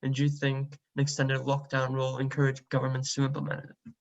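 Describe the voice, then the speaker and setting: Guy hosting, very monotone, reads questions out, muffled call audio. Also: medium pitch, a little fast, creaky. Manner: polite, reads questions out steadily. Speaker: host reading audience questions. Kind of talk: moderating an online talk.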